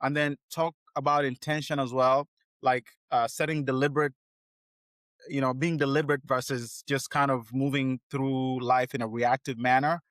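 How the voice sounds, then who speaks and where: A man hosting a casual podcast, drifting through his questions with loose, rambling phrasing. His voice sits at an ordinary middle pitch and sounds close and dry, with no room echo. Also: level tone, moderate pace, fairly bright tone. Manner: rambling, loose, full of fillers and restarts. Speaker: podcast host. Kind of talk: casual interview chat.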